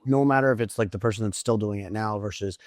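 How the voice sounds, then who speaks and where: Low-voiced rambling podcast host. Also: male voice, fast talker, dry recording. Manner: rambling, hedging with kind of and I mean. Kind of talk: podcast interview.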